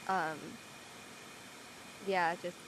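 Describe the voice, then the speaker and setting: A woman's voice: on the low side, level tone, very slow. A woman from the United States giving her thoughts in a casual panel discussion. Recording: background noise and room ambience.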